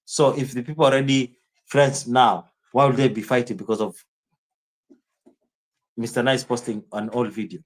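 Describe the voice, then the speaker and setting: A man with a strong Kenyan accent talks loosely to his live audience and rambles from point to point. He answers chat comments by name in a casual streaming manner, and his voice sits at an ordinary middle pitch. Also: steady tone, normal speed, pauses often, rough recording.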